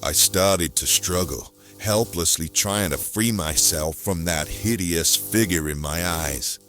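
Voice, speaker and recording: A man's voice: slow pace, a bit bright, soft background music. An actor playing a hardboiled, helpless noir victim who narrates his own ordeal in a melodramatic audio play. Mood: brooding narration. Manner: melodramatic, swinging from low narration to pleading cries.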